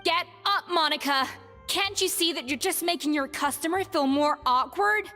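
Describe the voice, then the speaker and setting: Stern former head chef, firm, measured, high lively voice, echoey game audio. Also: female voice, soft background music. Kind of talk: video game voice acting.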